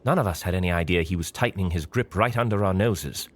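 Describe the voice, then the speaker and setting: An actor playing a coolly pragmatic government man in an audio drama, measured and formal. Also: sits low. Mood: cool, matter-of-fact.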